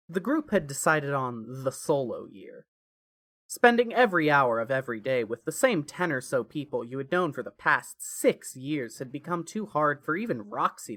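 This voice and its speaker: Expressive story narrator, a woman, dry sound. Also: sits low, no room sound. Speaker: fan fiction narrator.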